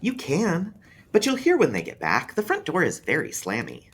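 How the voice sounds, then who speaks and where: Man voicing precocious little boy, swooping high pitch. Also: huge pitch swings, a bit bright, breathy edge, exaggerated voice. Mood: earnest. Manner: earnest, matter-of-fact, pausing thoughtfully. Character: precocious, serious young boy, a bit scared. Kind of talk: read-aloud eerie storybook dialogue.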